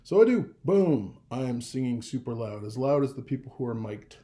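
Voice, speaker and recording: A young man telling a personal story in a casual vlog, rambling and animated, with a gravelly voice. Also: mid-range voice, moderate pace. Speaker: vlogger.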